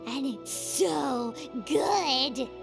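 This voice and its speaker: A woman voicing a tiny, excitable childlike fairy companion in a video game, squeaky and bubbly. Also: extremely slow, gravelly, over music, exaggerated voice. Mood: excited. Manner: bubbly, speaks of self in third person. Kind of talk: animated video game voice acting.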